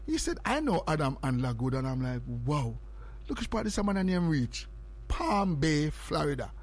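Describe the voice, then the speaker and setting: Jamaican male radio host, slightly high-pitched, repetitive hype-man patter. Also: very animated, moderate pace. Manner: repetitive, hypes and repeats phrases and numbers. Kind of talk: morning radio show patter.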